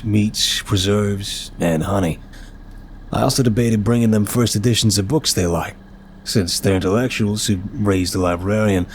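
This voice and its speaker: A man's voice: lowish voice, slight background noise, lightly acted. A man playing an apologetic, nervous but charming mafia boss in an ASMR roleplay, chatty, wry and flirtatious.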